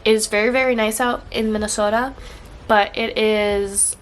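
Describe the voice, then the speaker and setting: A woman's voice: mid-range voice, steady tone, moderate pace. A young woman with a stuffy, congested nose, chatting upbeat and gushing as a book vlogger, with lots of fillers. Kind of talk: casual chatty book review.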